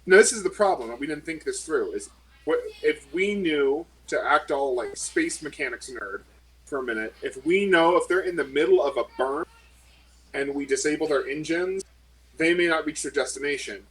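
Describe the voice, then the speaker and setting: Husky higher-voiced man thinking aloud. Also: animated, moderate pace, low-quality recording. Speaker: player. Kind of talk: tabletop game over a call.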